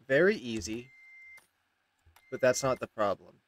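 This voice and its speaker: A man's voice: mid-range voice, expressive, a little slow. A man, casually commenting on a game as he plays and repeating himself. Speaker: game streamer. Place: indoor room.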